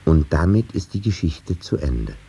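An actor playing a reflective, sceptical storyteller, narrating a 1950s German radio drama in a measured, musing way. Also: male voice, a bass voice, sing-song, vocal fry, mellow, slightly rough, exaggerated voice. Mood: pensive, sceptical.

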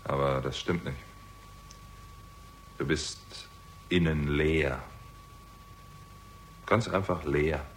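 A man's voice: extremely low pitch, extremely slow, long pauses, a little hiss or hum, exaggerated voice, low-quality recording. An actor playing a weary, bitter theatrical agent who narrates his own story in a terse, sardonic way in a 1960s German crime radio drama. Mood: bitter, accusing.

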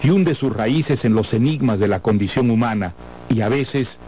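A man presenting a classic novel in a scripted introduction, speaking in a measured, erudite and formal manner. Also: medium pitch, dark tone, a little hiss or hum. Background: background music.

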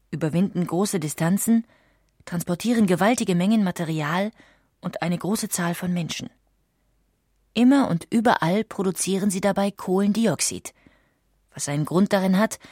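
A woman reading scripted documentary narration in a measured, factual manner. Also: medium pitch, darkish tone, breathy edge, no room sound, clean studio sound.

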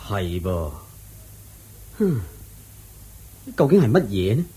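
A brusque, commanding general fires off puzzled questions in a husky voice. The radio-play delivery moves with a lively pitch. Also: male voice, takes it slowly, full character voice. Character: curious commanding male general. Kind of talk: radio drama acting.

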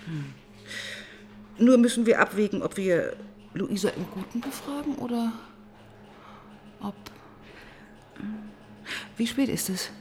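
An actress playing a composed, probing police detective who narrates and talks through the case in a measured, matter-of-fact way in a crime radio play. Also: female voice, mid-range voice, very animated, warm tone, slight background noise. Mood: businesslike. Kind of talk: German crime radio play, dialogue and first-person narration.

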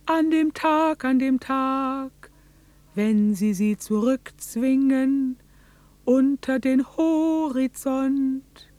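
A woman's voice: high-pitched, dark tone, dry recording. An actress playing a haunted, solitary farm woman in a radio drama, with an incantatory, repetitive, insistent way of speaking. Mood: solemn incantation. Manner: slow, incantatory, repeating phrases.

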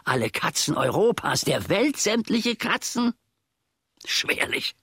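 Brooding old servant for radio drama: bright, rasping edge, slow mannered phrasing falling into whispers, bitter and scheming. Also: male voice, sits high, big pitch swings, no echo, studio quality, heavily acted. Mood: sullen, conspiratorial. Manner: mannered, dropping into whispers, archaic stilted diction. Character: aggrieved, brooding old manservant scheming against his master. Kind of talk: theatrical German radio play monologue.

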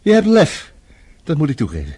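Brash, animated, husky newsman. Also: a little high, a little fast, exaggerated voice. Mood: sardonic. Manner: blunt, excitable, sardonic. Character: brash, hot-tempered television journalist, a man. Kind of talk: radio drama acting.

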